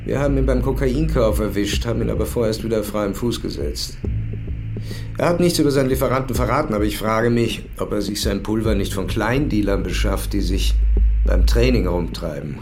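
A man's voice: on the low side, fairly quick, music in the background, lightly acted. A man playing a cool, shrewd police superintendent in an audio drama, dry, terse and authoritative. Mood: polite, calculating. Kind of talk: German audio drama acting.

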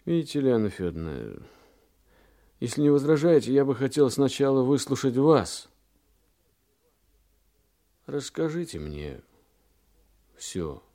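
A courteous police detective in a naturalistic radio play. His voice is mellow and moves expressively up and down, while his manner stays measured and tactful. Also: mid-range voice, big pitch swings. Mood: calm, serious.

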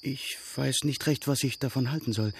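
An actor playing a stiff, earnest, wary preacher in a radio drama, speaking in a curt, guarded, formal way. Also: male voice, medium pitch, normal speed, creaky, a little hiss or hum, lightly acted. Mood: wary, offended.

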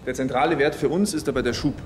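An actor, chatty and explanatory. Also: male voice, medium pitch, fast, darkish tone, lightly acted. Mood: explaining. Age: young adult. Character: brisk, casual young male rocket engineer boss. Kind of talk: radio play dialogue. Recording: noisy, with car and machinery ambience.

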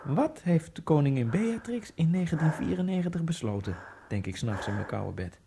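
A man's voice: fairly high pitch, very animated, moderate pace, creaky, warm tone, slight background noise. An actor playing a lonely immigrant man obsessed with speed skating, narrating his thoughts in a wry, brooding radio-drama monologue. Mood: wry musing. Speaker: first-person narrator of a radio play.

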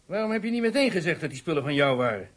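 An actor playing a stern, impatient, sceptical police interrogator in a Dutch radio drama, with a husky voice. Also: male voice, on the high side, sing-song, racing, a bit bright, full character voice. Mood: sceptical, probing.

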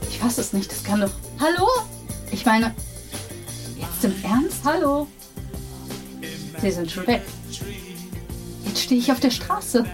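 A woman's voice: fairly high pitch, very animated, slow, long pauses, over music. An actress playing the narrator's mother as a young woman in a radio drama, speaking bluntly in short, pleading lines. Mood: exasperated.

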